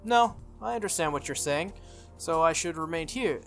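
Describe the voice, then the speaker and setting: A young female warrior from a visual novel, voiced by a game streamer, speaks calmly and formally. Her words are measured, and music plays behind her. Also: mid-range voice, natural voice. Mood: calm advising. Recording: music under the voice.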